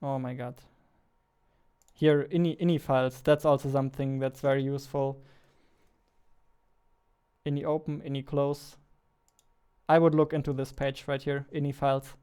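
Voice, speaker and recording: A man, chatting casually on a livestream while he works, thinking aloud in a halting way. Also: medium pitch, little pitch movement, mellow, smooth. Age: 20s. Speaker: game developer and streamer. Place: indoor room at a computer, keyboard clicks behind.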